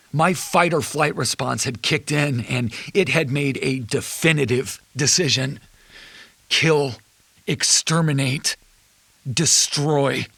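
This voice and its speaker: Distraught husband out for revenge, played by a man, audio drama monologue: croaky, slightly raised pitch, deliberate and brooding. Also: fairly bright tone, no room sound, heavily acted. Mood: seething, grim. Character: distraught, vengeful husband recounting his nightmare on tape. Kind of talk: dramatic first-person confession monologue.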